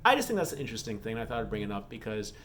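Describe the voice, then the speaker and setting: A man chatting casually to his vlog audience as an author, halting and repeating phrases for emphasis. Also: lowish voice, very animated, a bit bright, a little hiss or hum. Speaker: romance author and vlogger.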